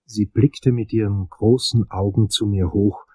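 An actor playing a hard-boiled detective, narrating his case in a deliberate, theatrical crime radio drama style. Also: lowish voice, fairly even intonation, warm tone, slightly rough, no echo. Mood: suspenseful. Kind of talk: noir first-person crime drama narration.

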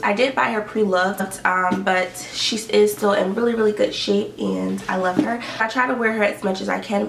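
A woman's voice: mid-range voice, creaky, fairly bright tone, a little hiss or hum. Stuffy-voiced young woman vlogging in her bedroom. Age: young adult. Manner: chatty, upbeat, lots of likes and ums. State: stuffy and sniffling from allergies. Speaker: beauty and fashion vlogger. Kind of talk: casual what's-in-my-bag chat. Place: bedroom indoors. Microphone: camera in front at desk distance. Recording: roomy bedroom echo, mic a bit far.

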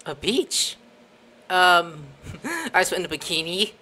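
A young adult woman giving casual, playful and expressive gameplay commentary from a room at home. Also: fairly low pitch, slow, a little hiss or hum. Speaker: game streamer.

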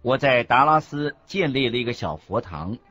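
A man playing a Buddhist monk teacher in a scripted radio lecture, husky, expressive and didactic. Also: mid-range voice, moderate pace. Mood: earnest recounting. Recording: dull lo-fi recording with faint music.

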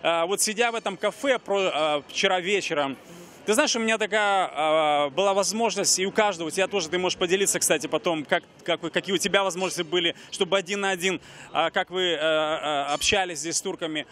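A man's voice: high-pitched, fairly quick, fairly bright tone. A man in his forties hosting an outdoor street interview, talking earnestly with many fillers and restarts into a handheld mic. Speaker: TV host. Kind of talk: street interview, ministry talk. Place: busy pedestrian street outdoors.

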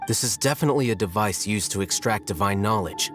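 An actor playing a cool, analytical young scholar in a video game, speaking in a measured, precise, detached way. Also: male voice, lowish voice, loud music behind the voice, close to an everyday voice.

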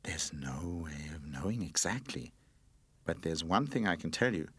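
Man doing a soft, sensible cushion. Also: deep voice, lively intonation, normal speed, breathy edge, no echo, exaggerated voice. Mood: calm. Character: calm sensible cushion, level-headed.

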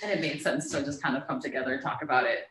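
A woman's voice: very deep voice, fairly even intonation, racing, a bit bright, far from the mic. A young woman from Mexico, a co-founder talking casually to students about her path. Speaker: guest speaker, co-founder. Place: university classroom. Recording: echoey classroom, mic from afar.

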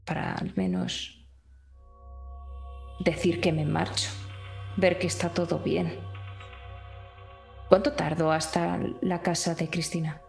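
A woman's voice: fairly low pitch, flat delivery, slow pace, creaky, long pauses, darkish tone, faint music behind. A woman playing a tabletop role-playing game, casually and hesitantly describing what her character does.

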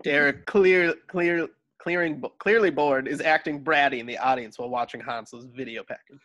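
Higher-pitched man reading stage directions. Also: normal speed, fairly bright tone. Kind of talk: scripted narration at a table read. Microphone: video call.

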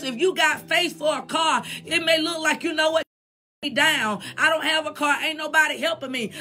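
A woman's voice: high voice, pushed and bright. An American woman preaching an exhortatory sermon in an emphatic, urging manner with repeated refrains.

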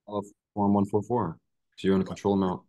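Young guy on a video call, kinda low voice, working through exam questions out loud, bit tentative. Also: little pitch movement, brisk. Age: young adult. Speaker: student taking a practice exam. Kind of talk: reasoning aloud through test questions. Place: indoor room on a video call.

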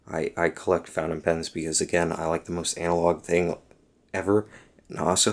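Low-voiced young man rambling about pens. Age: young adult. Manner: rambling and self-correcting, lots of ums. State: hoarse. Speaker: vlogger. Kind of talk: casual show-and-tell talk. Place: at a desk at home, indoors.

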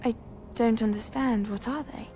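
A young witch student played by a woman in a period fantasy game. She is polite and earnest, with a fairly high voice over faint music. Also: steady tone, moderate pace, mellow, close to an everyday voice. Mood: curious. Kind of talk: dramatic game cutscene dialogue.

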